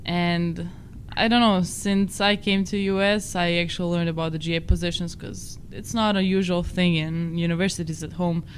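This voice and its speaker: A woman's voice: on the low side, fairly even intonation, normal speed, a little hiss or hum. Croatian-accented young woman, chatty. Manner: chatty, self-deprecating, non-native phrasing. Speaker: podcast co-host. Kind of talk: casual chat.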